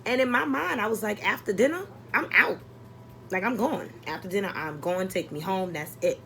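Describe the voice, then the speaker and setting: A woman chatting casually as a vlogger, telling a personal story in an expressive, exasperated, sweary and comedic way. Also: mid-range voice, normal speed, slight background noise.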